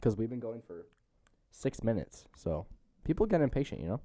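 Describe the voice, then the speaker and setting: A man's voice: mid-range voice, big pitch swings, moderate pace, dark tone, no room sound, studio quality. A young man hosting a podcast in casual, jokey chat, often breaking into laughter. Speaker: podcast host.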